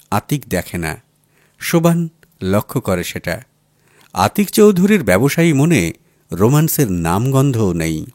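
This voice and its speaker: A man's voice: fairly low pitch, a little mellow, dry recording, clean studio sound. A man narrates a literary short story in a dramatic audiobook style. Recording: music bed under the voice. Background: background music.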